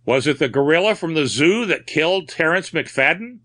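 A man's voice: on the high side, sing-song, a little slow, a bit bright, voice pushed up. A man voicing a baffled, insistent man in a story who questions a witness in a pleading, exasperated manner.

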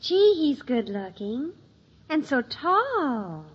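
A woman playing an excitable, boy-struck American teenage daughter in a 1950s radio sitcom, gushing and dramatic. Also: sits high, slow pace, full character voice. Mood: dreamy, teasing. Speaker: actor in a family situation comedy. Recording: muffled old radio recording.

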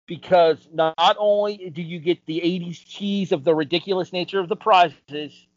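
A man in his thirties with a fairly high voice speaks as a co-host in a casual debate. He is emphatic and opinionated. The sound is dry and somewhat lo-fi. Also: no echo. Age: 30s. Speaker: podcast co-host. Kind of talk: casual panel debate about game shows. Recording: dull, dry lo-fi recording.

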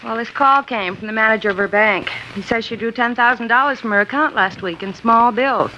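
An eager, chatty secretary rattles off the news in a vintage radio drama. Her voice is fairly high, quick and bright. The old recording sounds dull. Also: slight background noise. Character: chatty, efficient female office secretary.

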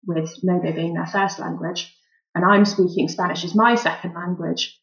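A woman with a lowish voice and a gravelly creak, talking through her medical work with lots of pauses and "um"s. The audio is a bit dull and lo-fi. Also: steady tone. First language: English. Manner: thoughtful, many "um" fillers. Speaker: volunteer doctor, guest. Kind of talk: relaxed interview answers.

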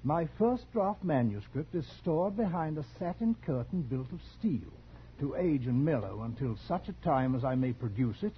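An actor in a 1940s radio drama playing a genteel, scholarly collector who is sly and quietly menacing, with polite, precise, insinuating speech. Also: male voice, on the high side, lively intonation, normal speed, lightly acted. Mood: scholarly, deliberate. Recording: dull, slightly noisy 1940s radio recording.

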